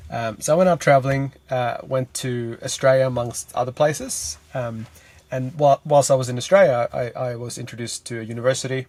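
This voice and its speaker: A man's voice: medium pitch, fairly even intonation, brisk, darkish tone, no room sound. Swedish man talking from a car. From Sweden. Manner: thoughtful, with many "uh" and "um" fillers. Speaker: guest, energy consultant. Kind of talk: casual interview answers. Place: inside a parked car. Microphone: podcast mic on a remote call.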